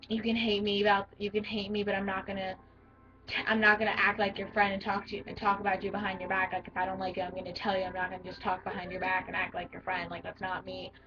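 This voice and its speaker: A teenage girl with a deep voice for a girl, talking bluntly in a rambling casual vlog with lots of fillers. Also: monotone, fast talker, far from the mic, lo-fi sound. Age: teens. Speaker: vlogger.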